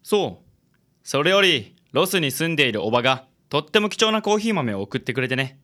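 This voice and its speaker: A man acts out a handsome charmer in his thirties for a radio drama. He talks quickly, with theatrical, flowery gallantry and a gentlemanly air. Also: on the high side, very animated. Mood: breezy, suave. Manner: smooth-talking, theatrical, gentlemanly. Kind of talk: Japanese radio drama acting.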